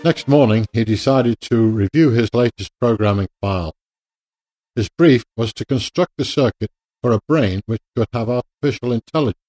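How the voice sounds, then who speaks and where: A man narrating a radio drama in a measured, literary storytelling manner. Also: medium pitch, dark tone, rough recording.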